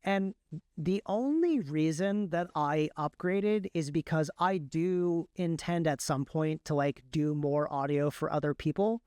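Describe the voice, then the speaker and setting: Man, slightly high voice, crisp and smooth, casual tech talk, rambling enthusiastic explainer, podcast guest. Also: measured pace, a little mellow, dry recording, studio quality. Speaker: podcast guest, audiobook narrator. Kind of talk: casual technical chat.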